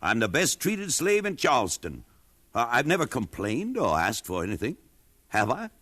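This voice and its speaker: A man's voice: medium pitch, wildly swooping pitch, normal speed, pushed and bright, heavily acted. An actor playing a shrewd, deferential enslaved man reasoning persuasively in a 1950s radio drama. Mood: wounded, persuasive. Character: shrewd, placating enslaved man, secretly defiant.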